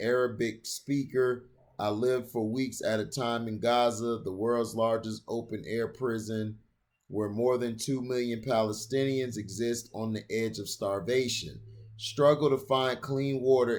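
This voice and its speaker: A man hosting his own channel, reading a written opinion essay aloud in a steady, deliberate way, now and then stumbling over a long word and restarting it. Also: medium pitch.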